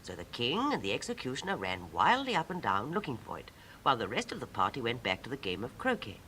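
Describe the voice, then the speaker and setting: A woman's voice: wildly swooping pitch, fast talker, fairly bright tone, exaggerated voice, low-quality recording. A woman actor narrating a storybook in a poised 1950s style. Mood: measured. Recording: old 1950s record with rumbling noise.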